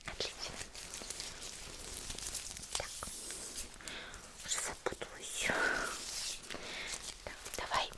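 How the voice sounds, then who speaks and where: A woman whispers through an ASMR roleplay. She addresses the listener directly and softly, with a caring, gentle manner. Her delivery is unhurried and affectionate.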